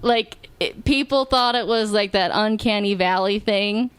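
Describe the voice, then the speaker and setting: A woman's voice: mid-range voice, measured pace, clear, resonant tone. A woman telling a casual story on a comedy podcast, hesitant and full of small fillers. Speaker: podcast guest.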